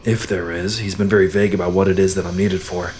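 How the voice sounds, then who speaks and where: Man acting weary male sergeant, guarded, terse, low monotone, audio drama, faint background noise. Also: fast, lightly acted. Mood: subdued. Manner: terse, subdued.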